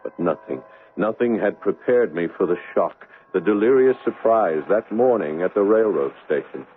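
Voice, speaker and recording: Casting: man playing earnest young doctor haunted by lost love; low voice, dramatic delivery, muffled old radio broadcast. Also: animated, slight background noise, lightly acted. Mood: wistful, haunted. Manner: wistful, deliberate, dramatic. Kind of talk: 1970s radio drama acting, intimate narration and dialogue.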